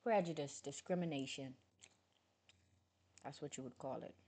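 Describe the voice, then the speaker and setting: A woman from the Bahamas, chatting casually with viewers on a livestream, outspoken and animated, swinging from loud outbursts to low mutters. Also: moderate pace.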